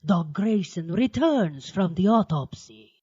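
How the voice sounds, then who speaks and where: A man's voice: high voice, animated, a little slow, dull audio, music in the background. A man playing a pompous mad-scientist doctor who hosts horror movies, narrating in a sardonic, theatrical style. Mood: mock-dramatic. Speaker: horror show host and narrator. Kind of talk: campy mock-horror narration.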